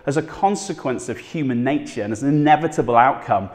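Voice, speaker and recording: A man's voice: mid-range voice, quick pace, big-room sound. A pastor in his thirties giving an earnest, conversational sermon from a small living room at home, talking with his hands. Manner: earnest, conversational, gesturing while speaking.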